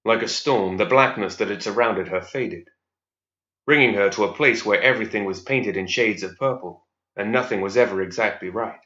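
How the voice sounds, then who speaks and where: Low-voiced male storyteller in a roomy space. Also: sharp tone. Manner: measured, serious storytelling. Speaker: story reader. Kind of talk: scripted narration of fantasy fiction. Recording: roomy, slightly dull recording.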